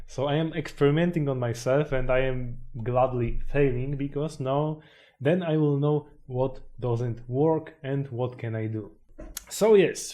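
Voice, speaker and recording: A man's voice: medium pitch, darkish tone. Hesitant young man, self-help vlog. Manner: hesitant, many fillers and restarts. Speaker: YouTuber.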